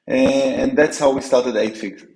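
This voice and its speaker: A man with an Israeli accent, a company co-founder answering interview questions, halting with frequent fillers and restarts. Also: mid-range voice, a little mellow, reverberant, poor audio. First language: Hebrew. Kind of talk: business interview.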